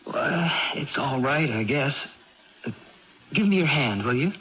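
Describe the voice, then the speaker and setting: An actor playing a devoted young brother in a 1980s radio drama, coaxing tenderly and explaining things simply and patiently. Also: male voice, mid-range voice, expressive, measured pace, sharp tone. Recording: muffled old radio broadcast, faint noise.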